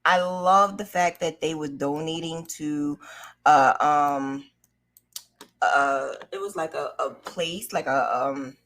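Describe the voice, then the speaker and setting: Low-voiced New woman telling her story. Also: takes it slowly, fairly bright tone. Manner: candid, conversational, acts out remembered dialogue. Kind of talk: casual personal storytelling.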